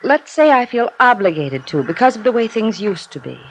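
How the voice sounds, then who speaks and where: An actress playing a hurt but devoted wife in a 1950s radio drama, with an American accent and an earnest, halting delivery. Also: female voice, a little high, very animated, rough recording. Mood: wistful, resigned. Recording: hissy vintage radio recording.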